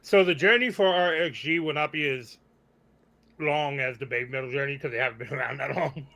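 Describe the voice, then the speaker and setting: A man with a bright, forceful voice at a medium pitch co-hosts a casual reaction show. He makes his points emphatically, in plain conversational statements. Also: fairly quick, vocal fry. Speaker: co-host of a music reaction show.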